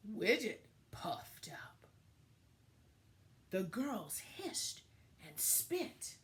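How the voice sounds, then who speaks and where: Slow, very expressive woman teacher. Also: medium pitch, in a whisper. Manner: deliberate, dramatic phrasing for young children. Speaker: teacher reading aloud to young children. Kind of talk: expressive storybook read-aloud and lesson.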